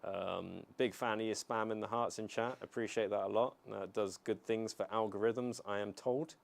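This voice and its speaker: A young man from the United Kingdom chatting casually on a live stream, answering chat in a relaxed, rambling way with many pauses and restarts. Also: lowish voice, creaky.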